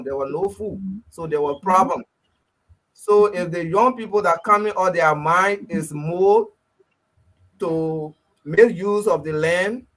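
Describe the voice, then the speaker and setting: A man from Liberia, a guest on a radio talk show, speaking earnestly in short, measured phrases. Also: fairly high pitch, fairly bright tone, poor audio.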